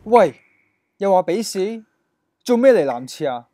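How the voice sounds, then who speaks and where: A man's voice: high voice, very animated, a little slow, dark tone, no echo. A man with a Hong Kong accent playing a melodramatic, boastful, lovestruck gang boss in a comic radio drama. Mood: agitated. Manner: melodramatic, swaggering. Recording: music under the voice.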